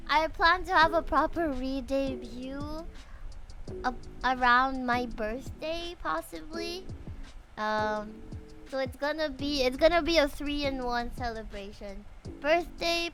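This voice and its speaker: A young woman streamer from the Philippines chats with her viewers in a bubbly, giggly way. Her voice sits high and she takes her time. Faint music plays beneath her. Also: sharp tone. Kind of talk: casual live-stream chat.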